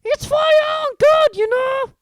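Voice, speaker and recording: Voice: very high-pitched, a little slow, fairly bright tone, dry recording, studio quality, pitched above the performer's own voice. A reader voicing a mischievous male poltergeist with a sing-song, taunting delivery. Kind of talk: audiobook character voice.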